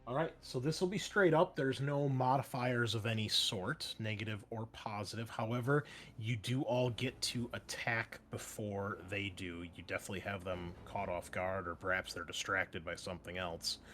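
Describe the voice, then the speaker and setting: A man running a tabletop roleplaying game as game master, explaining rules in a halting way and thinking aloud. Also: on the low side, steady tone, slight background noise. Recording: loud background music. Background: music.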